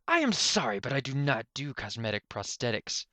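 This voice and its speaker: A man's voice: mid-range voice, sing-song, moderate pace, sharp tone, no echo, voice pushed up. A man voicing an irritated, sardonic unicorn stallion professor in a pony story, curt and mocking in manner.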